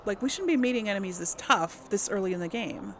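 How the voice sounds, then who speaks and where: A woman's voice: mid-range voice, expressive, fast talker, fairly bright tone, slight background noise. A woman giving casual video game commentary, thinking aloud in an exasperated way. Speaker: host playing a video game. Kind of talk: casual let's play commentary.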